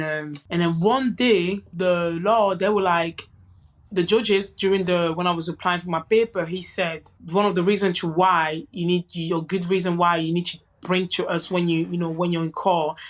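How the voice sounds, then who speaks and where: A young adult woman whose first language is French, telling her story as a podcast guest in an earnest, halting way with frequent restarts. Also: lowish voice. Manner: earnest, many restarts and "you know" asides. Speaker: guest, boxer. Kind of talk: candid conversational storytelling.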